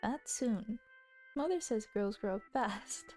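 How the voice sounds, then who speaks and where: A woman's voice: medium pitch, expressive, slow, a little mellow, soft background music. A woman voicing a playful young girl who teases and pouts at her older brother. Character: young girl, playful pouting little sister.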